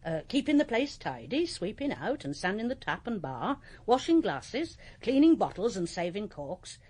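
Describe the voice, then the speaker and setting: A husky, homely old English landlady. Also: medium pitch, sing-song, moderate pace, darkish tone. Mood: frank, kindly. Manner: homely, matter-of-fact, good-humoured. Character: kindly, plain-spoken plump old country inn landlady. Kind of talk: naturalistic radio drama acting.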